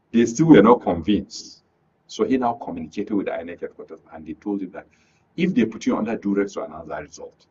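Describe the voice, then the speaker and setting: A Nigerian man speaking as a studio guest, giving emphatic, deliberate political analysis in a radio discussion and often repeating phrases. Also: low-pitched, fairly bright tone, lo-fi sound, a little hiss or hum.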